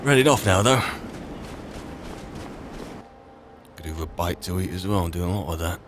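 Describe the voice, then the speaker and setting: Guy roleplaying a adventurer in a fantasy game, low voice, wry muttering to himself, noisy ambience behind. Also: lively intonation, quick pace. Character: wry adventurer musing aloud on a mountain trek. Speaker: player roleplaying a game character on a let's play channel. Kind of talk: in-character improvised game narration.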